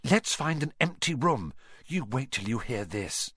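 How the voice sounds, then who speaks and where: A man's voice: mid-range voice, very animated, unhurried, a bit bright, husky, dry recording, studio quality, exaggerated voice. A man voicing a breathless boy who talks urgently.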